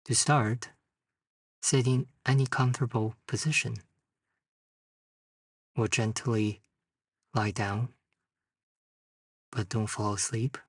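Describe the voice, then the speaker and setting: A man leading a guided meditation, speaking in short, unhurried phrases with long pauses. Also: mid-range voice, steady tone, slightly rough, studio quality. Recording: distant mic in a roomy, echoing space.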